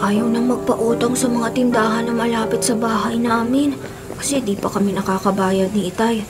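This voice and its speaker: A woman's voice: on the high side, fairly even intonation, moderate pace, loud music behind the voice, lightly acted. A woman playing a sad, earnest young boy in a radio drama, polite and pleading. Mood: worried. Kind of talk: Filipino radio drama acting.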